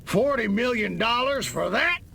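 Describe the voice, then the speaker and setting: An actor playing a scornful, stubborn military general in a 1950s-set video game, blustering and cocky. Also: male voice, expressive, a little slow, bright, forceful tone, exaggerated voice. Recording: clearly noisy with low rumble.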